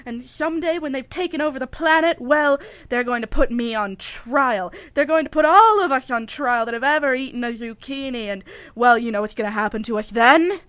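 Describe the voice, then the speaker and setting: High-voiced, dotty paranoid housewife, acted by a woman. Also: brisk, a bit bright, dry recording. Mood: alarmed, confessional. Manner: earnest, rambling, dramatic. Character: dotty, paranoid housewife convinced vegetables are alien invaders. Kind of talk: stage radio comedy acting.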